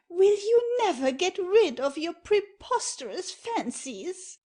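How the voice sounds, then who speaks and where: A woman's voice: extremely high pitch, big pitch swings, takes it slowly, a little mellow, full character voice. An actress playing a haughty, disapproving noble mother-in-law in a classic comedy audio play, affronted and grand.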